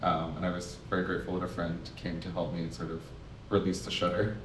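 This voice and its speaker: Deep-voiced nervous man in an echoey gallery. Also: completely flat delivery, a little hiss or hum. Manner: nervous, halting with many fillers. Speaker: exhibiting artist on a panel. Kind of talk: panel artist talk. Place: art gallery. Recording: echoey gallery, mic far off.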